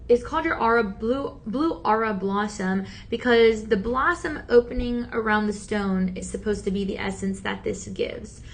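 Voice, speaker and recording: A woman hosting a shopping channel, chatty and encouraging, with a slightly raspy voice that is still healing from talking so much. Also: on the high side, a little hiss or hum. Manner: chatty, upbeat and encouraging, many fillers. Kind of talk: live TV sales pitch. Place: TV studio.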